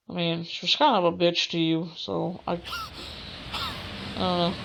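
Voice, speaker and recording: Low, even-toned woman reading aloud. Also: vocal fry, poor audio. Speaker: gamer doing a let's play. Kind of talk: reading visual novel narration aloud with casual asides. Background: game music.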